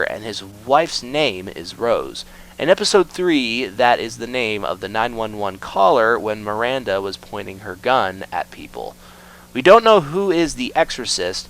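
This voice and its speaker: Male explainer narrator, mid-pitched voice. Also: a bit bright, poor audio. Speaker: video essay host. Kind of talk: scripted explainer narration of a horror series.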